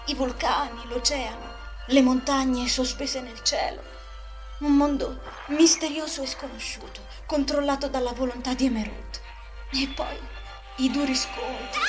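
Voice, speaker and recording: Female dubber as anguished teen magic knight, high voice, fervent and dramatic, amateur anime dub, faint music. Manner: dramatic, fervent monologue delivery. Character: anguished, earnest teenage magic knight heroine. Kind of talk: Italian amateur anime fan dubbing. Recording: homemade lo-fi desk microphone, music behind.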